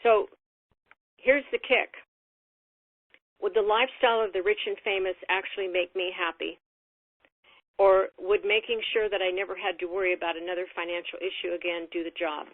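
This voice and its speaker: A woman giving a reflective motivational talk in an earnest, deliberate, measured way over a telephone conference line. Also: lowish voice, fairly even intonation, no room sound. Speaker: conference call speaker. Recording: phone-line conference call, narrow band.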